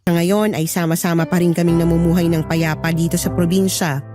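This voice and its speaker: A woman's voice: on the low side, fairly even intonation, a little fast, mellow, faint music behind. A woman giving a scripted narration of a horror story as its narrator.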